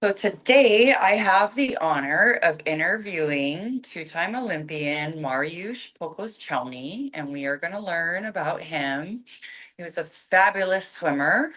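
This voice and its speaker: A woman hosting an informal interview, putting her introductions and questions deliberately, phrase by phrase. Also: lowish voice, lively intonation, a bit bright.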